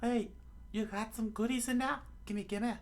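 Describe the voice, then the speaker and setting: A woman's voice: fairly high pitch, fairly even intonation, a little slow, voice pushed up. A woman voicing a hot-tempered, greedy young female thief who scolds indignantly in an adventure story.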